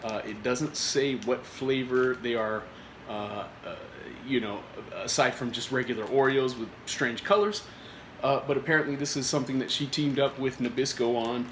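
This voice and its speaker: A man's voice: medium pitch, normal speed, fairly bright tone. Rambling man reviewing snacks, roomy echo. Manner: rambling, many filler words and restarts. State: recovering from a cold. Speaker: food reviewer. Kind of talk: casual product review vlog. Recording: echoey room with faint noise.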